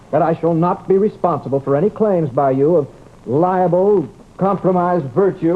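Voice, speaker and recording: An actor playing a fussy, sceptical scientist in old-time radio drama, speaking in a pompous, formal, curt manner. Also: male voice, sits high. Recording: muffled, noisy old radio recording.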